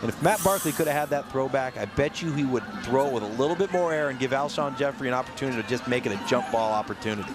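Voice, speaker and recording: A man's voice: mid-range voice, quick pace, few pauses. An American man giving explanatory, technical football analysis as the color commentator on a game broadcast. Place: outdoor football stadium. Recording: noisy outdoor stadium broadcast.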